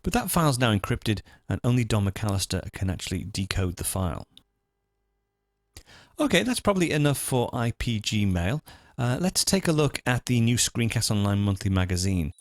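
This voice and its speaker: A man hosting a software tutorial, talking through each step in an informal, unscripted, explanatory way. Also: on the low side, very animated, normal speed, no room sound, clean studio sound. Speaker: host of a software tutorial show. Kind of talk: screencast tutorial, unscripted walkthrough.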